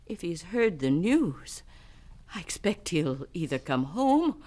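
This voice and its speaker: A guarded new widow. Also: female voice, fairly low pitch, big pitch swings, slow, warm tone, full character voice. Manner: hesitant, guarded answers. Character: composed widowed wife, guarded and cool. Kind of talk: 1970s radio drama acting.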